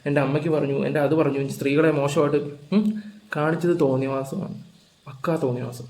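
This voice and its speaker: A man rants in a lecturing, indignant way. He speaks extremely fast in a slightly high voice. The room adds clear echo. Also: steady tone, darkish tone. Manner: indignant, lecturing with rhetorical questions. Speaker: reaction video commentator. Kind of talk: informal ranting commentary. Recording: echoey room.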